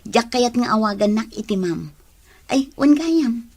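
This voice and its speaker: Husky, long-suffering Ilocano wife. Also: female voice, a little high, lively intonation, a little slow, darkish tone, full character voice. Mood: friendly. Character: patient, long-suffering, modest wife and mother. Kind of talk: Ilocano radio drama acting.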